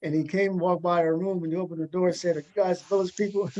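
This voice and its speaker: A man's voice: high-pitched, steady tone, quick pace, darkish tone, highs cut off. A man hosting a talk show in a casual, conversational way, often repeating words and phrases as he goes. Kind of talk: casual interviewing.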